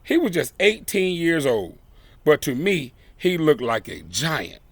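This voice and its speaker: A man reading a children's story aloud expressively, with deliberate, emphatic phrasing and dramatic pauses. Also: on the high side, big pitch swings, dry recording. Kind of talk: expressive read-aloud storytelling with brief asides.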